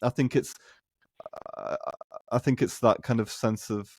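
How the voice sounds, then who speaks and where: A man's voice: mid-range voice, fairly even intonation, normal speed, darkish tone, slightly rough, no room sound, studio quality. A man hosting a podcast in casual conversation, tentative and often restarting his phrases. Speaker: podcast host.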